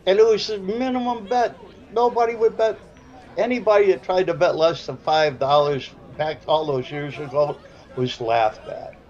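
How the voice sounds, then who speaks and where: A man's voice: a little high, steady tone, normal speed, darkish tone, dull audio. A man in his seventies with a South Side Chicago accent, giving rambling, folksy color commentary on a pool match. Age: 70s.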